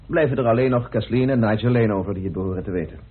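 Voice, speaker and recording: An actor playing a methodical, insistent amateur detective in a radio play, speaking deliberately with theatrical pauses as he accuses. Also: fairly low pitch, slightly rough. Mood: challenging, insistent. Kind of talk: radio play acting in Dutch. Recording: muffled old radio drama recording.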